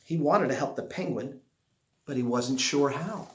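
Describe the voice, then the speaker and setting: A man reading a children's picture book aloud expressively, with dramatic pauses and drawn-out words. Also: mid-range voice. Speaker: storybook reader. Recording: echoey room.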